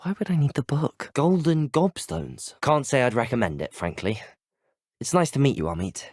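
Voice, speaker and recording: A polite, brave teenage wizard student with a British accent, speaking in scripted game dialogue. His husky voice moves in lively swings of pitch, very close and dry. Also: normal speed, dry recording. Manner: polite, well-spoken, formal. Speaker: video game player character.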